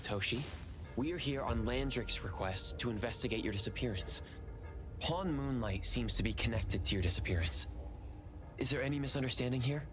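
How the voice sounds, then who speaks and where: A man's voice: medium pitch, normal speed, lightly acted. A man playing a cautious, polite and formal investigator in an anime-style game. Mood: urgent, reasoning. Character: level-headed, cautious male investigator. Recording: loud music under the voice.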